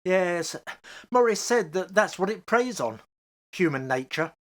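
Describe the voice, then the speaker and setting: A woman's voice: on the low side, lively intonation, a little slow. A woman playing a mild, homely working-class old husband and father in a radio play, speaking hesitantly. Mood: easygoing.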